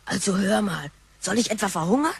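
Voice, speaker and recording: A woman's voice: medium pitch, animated, brisk, vocal fry. A woman playing a cheeky, impatient granddaughter in a sci-fi radio drama, with a blunt, slangy manner. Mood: conspiratorial, grumbling. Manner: brash, blunt, exclamatory.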